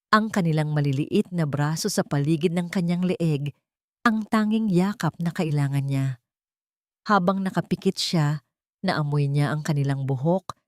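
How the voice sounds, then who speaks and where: A woman's voice: fairly low pitch, darkish tone, no room sound, clean studio sound. A woman with a Filipino accent reading a sentimental story as scripted narration.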